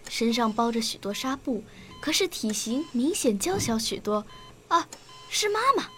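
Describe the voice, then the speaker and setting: A woman's voice: fairly high pitch, bright, forceful tone, a little hiss or hum. A woman playing an earnest, emotional nine-year-old girl in a radio drama, with a childlike, eager manner. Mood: quiet, reflective, then excited. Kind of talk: radio drama acting with first-person narration.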